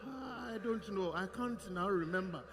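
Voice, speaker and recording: An actor with a West African accent playing a humble, nervous servant in a stage tragedy, halting and stammering as he pleads. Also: high-pitched, fairly bright tone, faint music behind. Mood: hesitant. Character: nervous, humble male villager, a hunter's servant under questioning. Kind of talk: projected stage tragedy acting. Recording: echoing theatre hall.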